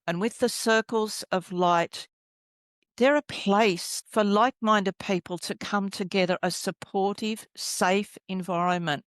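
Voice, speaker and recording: A middle-aged woman, a healer guest, telling her story in a soft, rambling way as she searches for her words. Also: medium pitch, no echo. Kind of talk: reflective storytelling interview.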